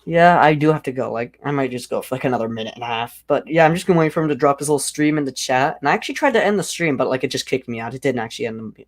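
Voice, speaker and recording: A young man with a deep voice hosting a casual livestream, rambling and filling nearly every phrase with "like". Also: expressive, very fast, few pauses. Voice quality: self-described deep voice. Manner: rambling, heavy use of "like". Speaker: livestream host.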